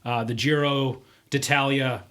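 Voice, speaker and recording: A man's voice: mid-range voice, measured pace. A man hosting a casual podcast chat, talking loosely with lots of "like" and "right?" fillers. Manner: relaxed, many "like" and "right?" fillers. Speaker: podcast host.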